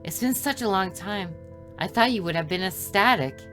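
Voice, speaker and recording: A woman's voice: mid-range voice, animated, normal speed, a bit bright, close to an everyday voice. A woman voicing a mysterious, confident, doll-like angelic girl while reading a visual novel aloud. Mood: teasing, confident. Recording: music behind the voice.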